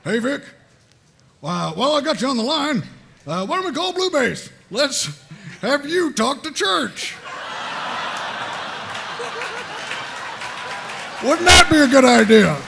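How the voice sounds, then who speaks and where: An actor playing a gruff, gung-ho military squad leader with a gravelly voice and pompous, barking commands, in a comedy table read. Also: male voice, extremely high pitch, sing-song, moderate pace, noisy background, exaggerated voice. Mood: urgent, needling.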